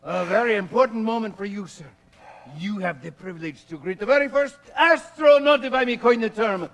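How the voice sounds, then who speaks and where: An actor playing a witty, swaggering poet who pretends to be a raving space traveler, talking in a flamboyant, theatrical, grandly rambling way. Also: male voice, high voice, brisk, a bit bright. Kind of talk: stage-style period drama acting.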